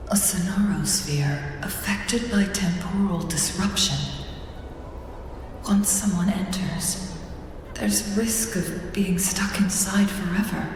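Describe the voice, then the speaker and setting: A woman playing a composed, thoughtful young warrior-strategist in a video game, speaking her inner thoughts with measured care. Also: lowish voice. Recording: heavy echo and reverb, noisy ambience.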